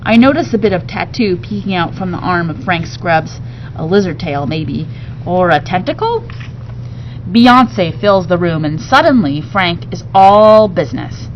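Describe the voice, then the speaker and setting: A woman narrating a children's novel aloud in an expressive read-aloud style, like a teacher. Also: fairly low pitch, measured pace. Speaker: teacher reading a book aloud. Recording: muffled home recording, faint background noise.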